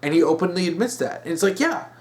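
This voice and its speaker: Animated, higher-pitched man ranting casually. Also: fast. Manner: exasperated, blunt, emphatic. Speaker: online commentator. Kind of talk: casual opinion rant.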